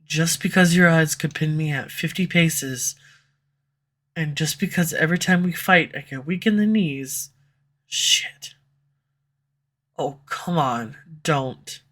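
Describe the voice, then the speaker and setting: A woman's voice: deep voice, takes it slowly, long pauses, a bit bright, heavily acted. A woman voicing a flustered tsundere female villain in love, in an audio roleplay for a listener, defensive at first and then tender. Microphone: USB condenser microphone at home.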